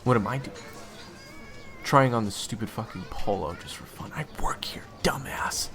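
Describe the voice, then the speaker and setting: A man's voice: fairly low pitch, moderate pace, whispered, over music, lightly acted. A man playing a flustered, stammering young waiter in an audio roleplay, who secretly hunts monsters and swings between chummy chatter and sweary irritation. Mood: hushed, irritated. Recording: clearly noisy background.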